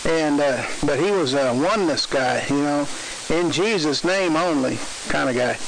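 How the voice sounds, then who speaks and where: A man's voice: on the high side, normal speed, vocal fry, rough recording. A man in his sixties with a Southern US accent, chatting and telling stories in a folksy, opinionated way over CB radio. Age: 60s. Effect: CB radio transmission. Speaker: amateur CB radio operator. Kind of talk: casual radio chat, storytelling. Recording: hissy CB radio with light static.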